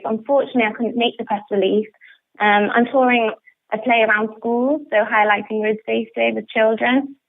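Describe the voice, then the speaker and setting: A young woman with a northern English accent, chatting enthusiastically in a radio interview over a phone line. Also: fairly high pitch. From northern England. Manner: enthusiastic, upbeat. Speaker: interviewed pantomime actress. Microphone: telephone. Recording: muffled, tinny phone line.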